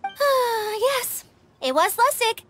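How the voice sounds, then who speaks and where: A woman voicing an earnest, easily flustered, excitable female caretaker in a video game cutscene. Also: very high-pitched, slow pace, background noise, full character voice. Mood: apologetic.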